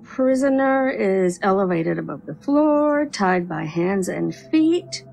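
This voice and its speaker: A woman's voice: mid-range voice, expressive, slow pace, gravelly, a little mellow, soft background music, rough recording. A woman playing a clinical, businesslike official in an audio drama. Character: clinical female official reporting on a prisoner.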